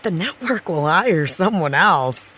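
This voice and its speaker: A woman's voice: lowish voice, expressive, slow pace, a bit bright, husky, heavily acted. A woman playing a wry, candid actress and supportive friend in an audio drama, with dry, teasing, naturalistic delivery. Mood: frank, sardonic.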